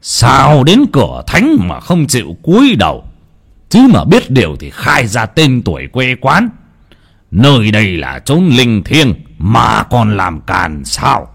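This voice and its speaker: A man voicing a stern, gruff spirit master in a horror story, scolding and commanding. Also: mid-range voice, huge pitch swings, slow pace, heavily acted. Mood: commanding, threatening. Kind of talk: dramatized horror story narration.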